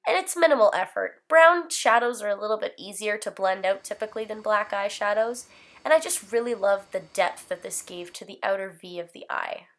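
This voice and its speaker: A woman's voice: medium pitch, expressive, a little fast, fairly bright tone. A woman in her twenties with a slight cold, talking through a makeup tutorial in a casual, upbeat, rambling way. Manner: chatty, upbeat, rambling with fillers. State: hoarse from a cold. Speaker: beauty vlogger. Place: bedroom filming setup.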